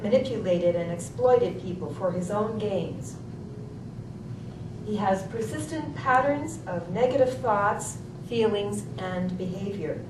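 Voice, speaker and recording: A woman in an amateur stage play, playing a composed psychologist who gives formal, measured testimony as an expert witness. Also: medium pitch, lightly acted. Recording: echoey distant room recording, clear background noise.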